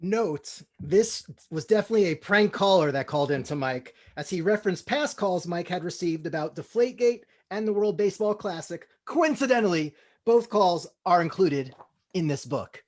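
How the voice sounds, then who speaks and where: A man with a notably high-pitched voice hosts a comedy table read. He narrates and comments in a mock-formal style, like a small-scale master of ceremonies. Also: lively intonation, normal speed.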